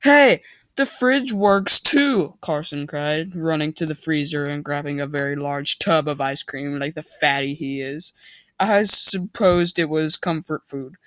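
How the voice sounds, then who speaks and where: A man's voice: a little high, little pitch movement, measured pace, a bit bright, smooth, no echo. Man mockingly reading a story, dry sound. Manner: sarcastic, exaggerated delivery. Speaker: amateur reader of a story. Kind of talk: mocking dramatic reading with sarcastic asides.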